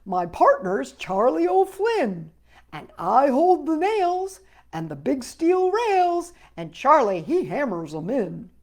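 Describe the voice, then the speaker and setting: A woman voicing a boastful male railroad worker in a children's poem, drawn-out and declamatory. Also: sits high, sing-song, darkish tone, exaggerated voice. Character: Big Barney Zang, boastful male railroad gang worker. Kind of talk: dramatic children's poem recital.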